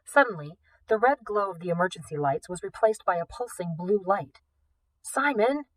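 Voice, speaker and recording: A woman reading a story aloud in an expressive, scripted narration style. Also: on the low side, fairly quick, poor audio. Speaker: audiobook reader, teacher.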